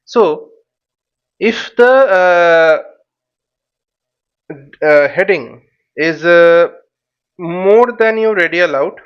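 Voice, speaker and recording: A man giving an explanatory tutorial as an instructor, thinking aloud in a halting, repetitive way and often checking in with short tags. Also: high voice, clear, resonant tone, dull audio. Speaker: flight simulation instructor.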